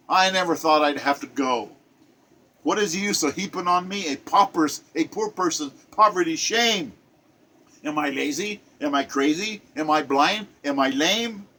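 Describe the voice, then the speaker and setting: A man's voice: on the high side, sharp tone. A man in his seventies reading a rhymed poem in the voice of a proud, weary old woman of seventy being sent to the poorhouse. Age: 70s. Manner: declaiming rhymed verse in first person.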